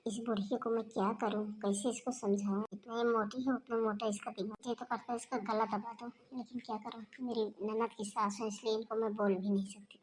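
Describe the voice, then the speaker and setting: A woman's voice: a little high, level tone, a little fast, hardly any pauses, a little hiss or hum, close to an everyday voice, low-quality recording. A woman voicing a brash young sister-in-law in a homemade family skit, talking in a blunt, grumbling way.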